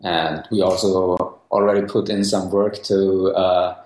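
A young man from Austria with a very low voice, explaining technical work in a casual interview. He hesitates often, restarting sentences and filling pauses. The recording carries a noticeable echo of the room. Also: flat delivery, darkish tone. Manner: hesitant, many restarts and fillers. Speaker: guest developer. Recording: echoey room.

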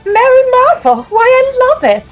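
A sweet young superheroine acted by a woman speaks in a high, lively voice. She is dainty, wide-eyed and full of glee, as in an amateur radio play of a comic book. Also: a little slow, dull audio, soft background music, exaggerated voice. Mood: thrilled.

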